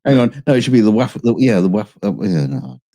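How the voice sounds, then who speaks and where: A man's voice: fairly low pitch, big pitch swings, fast, dark tone. A man co-hosting a podcast, chatting casually with rambling restarts. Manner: rambling, stammering restarts, laughs at own jokes. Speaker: podcast co-host. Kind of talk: casual chat.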